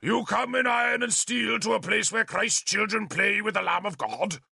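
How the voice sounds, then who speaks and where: A man reading an audiobook voices a fanatical, wrathful bishop who booms his denunciations like a preacher, in a gravelly voice. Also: normal speed, sharp tone, no echo, clean studio sound, full character voice. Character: fanatical middle-aged male bishop, booming and wrathful.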